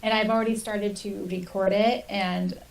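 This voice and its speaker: Sounding set back from the microphone, a woman with a medium-pitched voice co-hosts a podcast. She speaks in a casual, rambling conversational style. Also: little pitch movement, moderate pace, creaky. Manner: informal, rambling anecdotes. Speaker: podcast co-host. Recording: distant from the mic.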